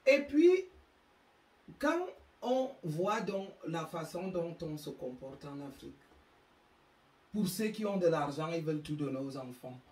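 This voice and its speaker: A woman's voice: low voice, very animated, darkish tone, roomy sound, far from the mic, poor audio. A woman with an African French accent hosting her own channel, delivering an emphatic, moralizing monologue. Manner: slow, emphatic, preachy. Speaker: YouTube channel host.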